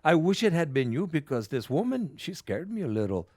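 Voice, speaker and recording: Old male salesman, friendly, sly, courteous patter, very animated pitch, dry sound, audio drama. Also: brisk, no echo. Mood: regretful, confiding.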